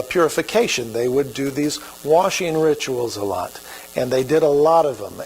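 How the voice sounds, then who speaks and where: A man's voice: on the high side, darkish tone, a little hiss or hum, low-quality recording. A man with an Oklahoma accent preaching a sermon as pastor, in a folksy, conversational way.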